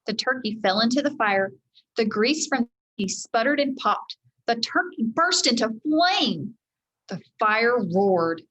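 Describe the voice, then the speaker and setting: A woman reading a children's chapter book aloud in an expressive teacherly style, speaking directly to her young listeners. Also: a little high, a little slow, rough recording. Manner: addresses young listeners directly, acts out dialogue.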